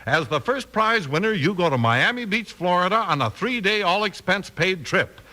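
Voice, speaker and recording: A man's voice: high-pitched, animated, normal speed, sharp tone. An American man reading a scripted 1950s radio advertisement as an announcer, with emphatic, polished delivery.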